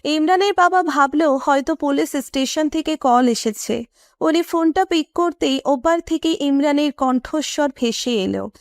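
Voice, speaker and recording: A woman's voice: high-pitched, unhurried, no echo, clean studio sound. A woman narrator reading a romantic story in scripted storytelling style.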